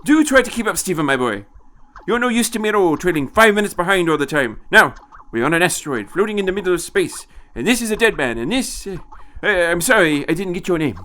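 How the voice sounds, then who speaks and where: A man's voice: high voice, very animated, fast, pushed and bright, pitched above the performer's own voice, full character voice. A man voicing an irritable, imperious old man time-traveller in an audio story, hesitant and repeating himself. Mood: bossy.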